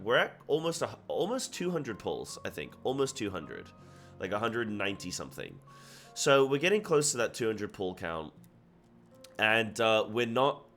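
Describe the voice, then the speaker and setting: A young man with a cold, sounding a little nasal, chatting casually and animatedly as he recaps his week of gaming for his viewers. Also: on the low side. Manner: chatty, animated, with frequent restarts. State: sick, slightly nasal. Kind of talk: casual solo recap to camera.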